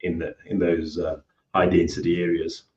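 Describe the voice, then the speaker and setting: Low-voiced South African man, roomy sound. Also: monotone, normal speed, a little mellow, muffled recording. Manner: hesitant, trailing off, frequent "you know". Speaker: guest, cleaning business owner. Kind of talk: casual video call interview.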